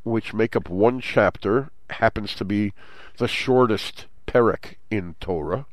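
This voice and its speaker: A man's voice: fairly low pitch, measured pace, dry recording, low-quality recording. A man with a stuffed-up, nasal voice hosting a Torah study program, explaining scripture steadily and mixing in Hebrew terms. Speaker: host. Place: Jerusalem.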